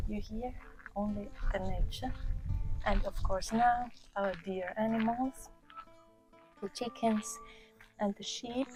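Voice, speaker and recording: A woman, casually vlogging outdoors and often pausing to search for words. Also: fairly high pitch, low-quality recording. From Finland. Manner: relaxed, thoughtful, pauses to search for words. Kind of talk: casual walk-and-talk vlog. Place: outdoors in the countryside.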